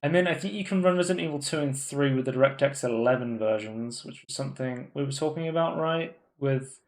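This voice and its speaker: A man chats through gaming news on a live podcast from a home room. He rambles, restarts his sentences and fills pauses with ums. His pitch sits squarely in the middle range. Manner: rambling, many fillers and restarts. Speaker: podcast co-host. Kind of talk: casual gaming news chat.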